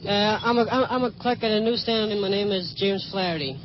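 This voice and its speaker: A plain-spoken man with a New York accent, a newsstand clerk, talking haltingly with stammered repeats. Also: sits high. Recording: dull, noisy old field recording.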